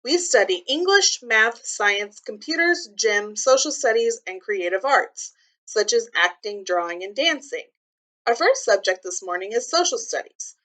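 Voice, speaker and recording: Bright-voiced woman reading aloud to children. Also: on the high side, animated, measured pace, low-quality recording. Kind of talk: read-aloud with simple explanations for children.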